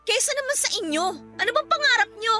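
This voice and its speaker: A woman's voice: very high-pitched, lively intonation, quick pace, sharp tone, faint music behind, full character voice. A young woman playing a dutiful, weary daughter in a Filipino radio drama, respectful and pleading but aggrieved. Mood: hurt, exasperated. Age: young adult.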